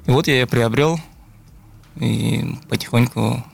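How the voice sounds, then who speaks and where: Creaky-voiced young man, thoughtful. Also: mid-range voice, a little slow, a little hiss or hum. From Buryatia, Russia. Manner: thoughtful, searching for words. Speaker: guest musician, beatboxer and looper. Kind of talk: interview answers.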